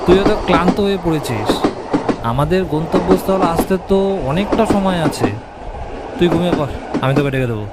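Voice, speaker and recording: A man's voice: high voice, lively intonation, fairly quick, long pauses, over loud music, low-quality recording. A man playing a teasing, familiar young male friend with an eerie side in a horror cartoon. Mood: amused, dismissive. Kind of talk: Bengali horror cartoon voice acting.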